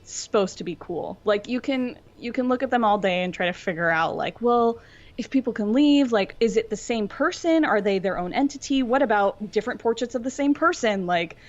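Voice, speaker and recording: A woman's voice: fairly high pitch, a little fast. A woman explaining things informally in a casual fan discussion, often saying "like" as she goes. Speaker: podcast co-host. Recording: dull lo-fi audio.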